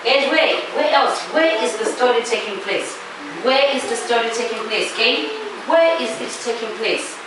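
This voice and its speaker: Echoey, high-voiced Zimbabwean woman teaching. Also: normal speed, a crowd in the background. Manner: repeats questions and answers insistently. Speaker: primary school teacher. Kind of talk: classroom lesson with questions to pupils. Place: classroom, indoors. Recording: echoey classroom, distant mic, clear noise.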